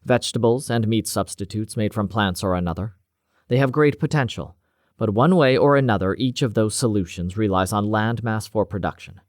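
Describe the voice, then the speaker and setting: A man's voice: lowish voice, normal speed, no room sound, clean studio sound, natural voice. A man playing a bullying woman scientist. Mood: lecturing. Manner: indignant and lecturing. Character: stocky, bullying middle-aged woman scientist.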